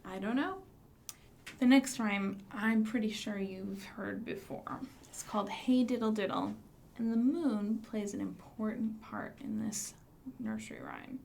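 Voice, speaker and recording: A woman's voice: mid-range voice, measured pace, vocal fry, a little mellow, a little hiss or hum. A woman hosting a storytime for young children, reciting rhymes and presenting books with simple questions and prompts.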